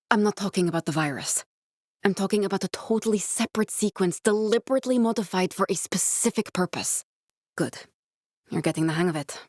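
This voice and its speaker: An actress playing a guarded, driven scientist in a video game, speaking in a measured, clinical way. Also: female voice, fairly low pitch, darkish tone, no echo, clean studio sound, close to an everyday voice. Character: guarded, driven woman scientist guiding a survivor, dry and earnest. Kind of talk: video game character voice acting.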